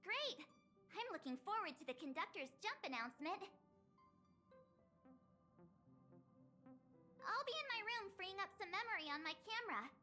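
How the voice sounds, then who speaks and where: A woman's voice: extremely high pitch, sing-song, fast talker, bright, forceful tone, soft background music, full character voice. A woman voicing a cheerful, eager teenage girl adventurer in a video game, with a perky, enthusiastic manner. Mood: excited.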